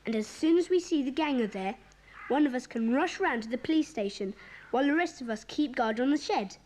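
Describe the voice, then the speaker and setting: A woman playing a bossy, excitable schoolboy club leader in a children's audio drama, with a commanding, eager manner. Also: high-pitched, fairly quick, slight background noise, low-quality recording.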